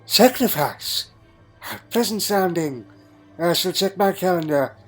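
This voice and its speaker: A man's voice: sits high, animated, unhurried, faint music behind. A man playing a crotchety, dry-witted elderly time traveller in a fan audio drama, fussy and full of questioning hums. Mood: wry, wary. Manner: fussy, punctuated with questioning hmms.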